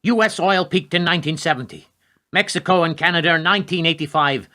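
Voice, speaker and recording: A man's voice: a little high, fast, sharp tone. A male actor playing a cold, calculating presidential adviser in a radio play, speaking formally and insistently. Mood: cool, defiant.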